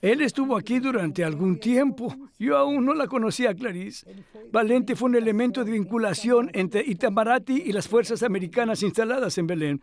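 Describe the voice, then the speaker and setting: An older man answering interview questions in a thoughtful, measured way, with pauses and fillers. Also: sits high. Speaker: interviewee, literary scholar. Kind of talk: Spanish voice-over of an interview.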